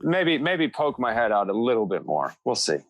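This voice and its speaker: A man's voice: mid-range voice, sing-song, normal speed, no room sound, dull audio. A man talking casually as a guest on a podcast.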